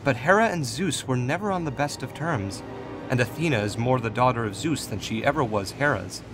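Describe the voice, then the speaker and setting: A man playing a composed, calculating god in authority, speaking in a measured, formal, diplomatic way in a fantasy audio drama. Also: mid-range voice, expressive, music in the background, close to an everyday voice. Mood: calm, gently chiding. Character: Raphael, composed, calculating male god in authority, gently chiding.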